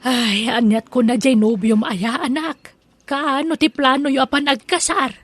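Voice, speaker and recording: A woman's voice: high voice, steady tone, a little slow. A woman with an Ilocano Filipino accent, performing as host and player in a scripted radio drama. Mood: motherly. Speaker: drama channel host and narrator.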